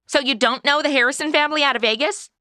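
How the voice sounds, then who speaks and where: A woman's voice: high voice, fast, sharp tone, no room sound, clean studio sound. An actress playing a snarky, wisecracking young modern woman in a comedy radio drama.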